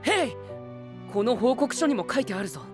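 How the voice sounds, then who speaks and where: An actor playing a proud, theatrical young gentleman knight with formal, grandiose speech in an anime-style voice drama. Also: very high-pitched, lively intonation, brisk, over music. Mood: impressed. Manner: formal, grandiose, with exclamations in English.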